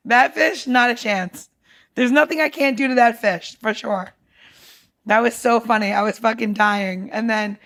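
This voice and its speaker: Higher-voiced woman in excitable casual chat.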